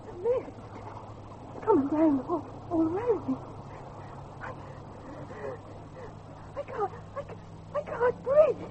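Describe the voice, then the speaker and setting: A woman playing a frightened watchman's wife in a period horror audio drama, breathless, halting and pleading. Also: very high-pitched, sing-song, full character voice. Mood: fearful, bewildered. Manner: breathless, stammering, halting delivery. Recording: dull lo-fi audio with faint noise.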